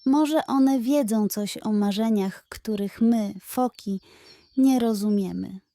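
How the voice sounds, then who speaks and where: A woman's voice: fairly high pitch, takes it slowly, dark tone, dry recording. A woman voicing an older female seal in a children's story, lazy, amused and doubtful. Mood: doubtful advising.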